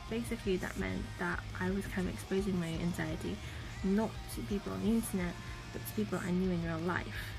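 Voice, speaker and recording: Young woman, croaky sore-throat voice, chatty vlog, music playing behind. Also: medium pitch, poor audio. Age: young adult. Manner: chatty, many "you know" fillers, earnest. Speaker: vlogger. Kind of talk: casual talking-to-camera vlog.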